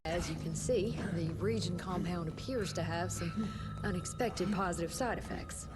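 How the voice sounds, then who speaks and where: An actress playing a calm, clinical female scientist in a game cutscene. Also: on the low side, very noisy, lightly acted. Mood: measured. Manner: measured, clinical. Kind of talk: video game cutscene acting.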